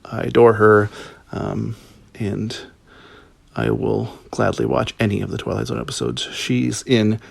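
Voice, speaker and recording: A man from Minnesota giving a casual spoken review in a halting, hesitant way, in broken phrases. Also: fairly low pitch, gravelly, husky, dry recording. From Minnesota, United States. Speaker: listener sending in episode feedback.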